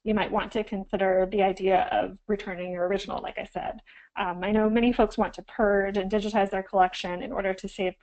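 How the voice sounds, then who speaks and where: A woman's voice: medium pitch, level tone, brisk, a little mellow, low-quality recording. A woman archivist getting over a cold, slightly froggy, giving an instructional webinar with frequent ums and self-corrections.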